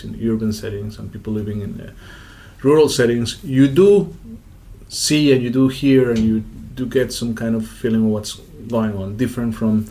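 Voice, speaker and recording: A man's voice: medium pitch, a little mellow. A middle-aged man giving reflective interview answers, circling and repeating words as he feels his way. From Colombia. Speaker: interview guest, executive director of an NGO.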